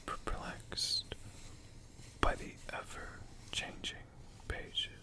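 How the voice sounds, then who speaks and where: An ASMR storyteller of unclear sex telling tales in a hushed, drawn-out way, with long pauses and repeated words.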